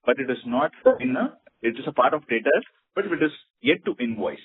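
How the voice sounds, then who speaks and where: A man's voice: medium pitch, lively intonation, fairly quick. A man with an Indian accent answering investor questions as a finance executive, over a phone line. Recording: tinny narrow phone line.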